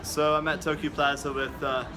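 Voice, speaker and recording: A man's voice: a little high, flat delivery, moderate pace. Jokey man vlogging amid a crowd. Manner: jokey, laughs often. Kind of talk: casual vlog chat. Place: outdoors and in a busy burger restaurant. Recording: noisy crowd around.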